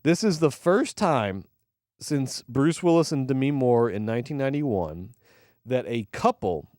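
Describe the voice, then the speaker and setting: A man's voice: medium pitch, darkish tone, dry recording, clean studio sound. A man co-hosting a movie podcast, talking casually with lots of fillers, restarts and swearing. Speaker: podcast co-host.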